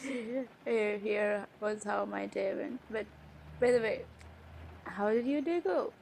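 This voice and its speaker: A woman playing a hurt, defiant young college-age stepsister in an improvised audio roleplay, speaking emotionally and haltingly with sighs and pauses. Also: medium pitch, creaky, darkish tone. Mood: concerned, awkward. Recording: faint background noise.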